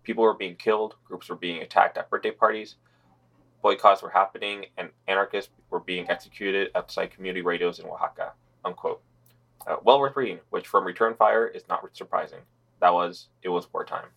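A man with a very low, gravelly voice co-hosts a podcast news roundup. He reads the summaries and quotes in a laid-back, sardonic way. Vocal fry runs through his delivery. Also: steady tone, fairly quick, a bit bright, low-quality recording. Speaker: podcast co-host reading news.

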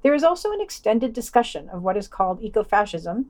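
A woman's voice: mid-range voice, very animated, quick pace, a little mellow. A woman presenting a podcast, reading scripted reviews and editorial commentary.

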